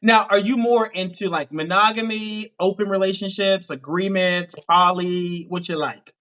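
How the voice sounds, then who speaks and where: A man's voice: high voice, fairly even intonation, fairly bright tone. A man with a Pittsburgh accent hosting a live dating show, asking upbeat questions and often saying "okay, okay". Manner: upbeat, quick questions with frequent affirmations. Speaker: dating coach host.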